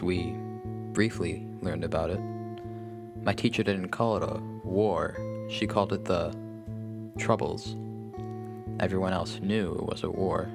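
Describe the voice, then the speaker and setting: A man reading a scripted first-person horror story in a measured, grave manner. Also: sits low, creaky. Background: plucked guitar music.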